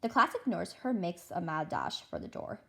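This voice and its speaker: A woman narrating a children's novel aloud in an expressive, dramatic style, now and then stumbling over words. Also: on the low side, moderate pace, vocal fry, slight background noise. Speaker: read-aloud host.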